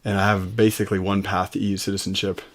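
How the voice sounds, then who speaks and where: A man's voice: deep voice, quick pace, a little hiss or hum. A young man from the United States, talking casually and conversationally to the camera for his vlog. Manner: relaxed, conversational. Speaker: vlogger.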